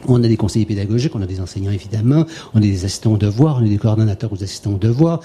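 Québécois guy at a conference, really deep mellow tone, rambling anecdotes, stumbles over repeated words. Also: very fast, a little hiss or hum. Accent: Québécois French. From Canada, Quebec. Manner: discursive, repeats words while searching for them. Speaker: conference participant asking a question. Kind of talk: academic discussion with anecdotes.

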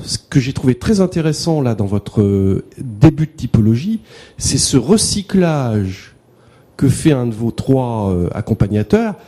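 A man speaks at an academic discussion in a reflective, hesitant way. His pitch moves around a lot, and his tone is mellow and a little husky. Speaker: conference participant.

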